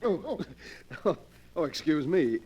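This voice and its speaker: Jittery young man, lively vintage radio voice. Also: on the high side, slow, lo-fi sound, heavy background noise. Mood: flustered, polite. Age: young adult. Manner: flustered, stammering. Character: nervous, polite young man hurrying home. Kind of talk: 1940s radio acting. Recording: old radio broadcast, background murmur.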